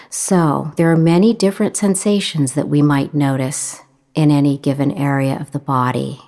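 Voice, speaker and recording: A woman meditation instructor giving calm guidance in a gentle, measured manner, leaving long pauses between phrases. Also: low voice.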